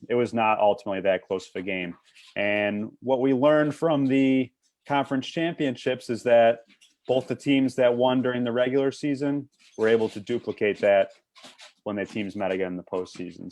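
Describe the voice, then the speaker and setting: A man giving conversational sports commentary as a panelist, measured and methodical with a wry touch. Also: fairly low pitch, darkish tone.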